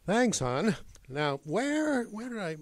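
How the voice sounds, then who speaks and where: A man's voice: high voice, very animated, slow, no room sound, heavily acted. A man playing a cheery, wholesome husband with a folksy, earnest manner in a mock vintage radio advertisement. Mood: contented, folksy.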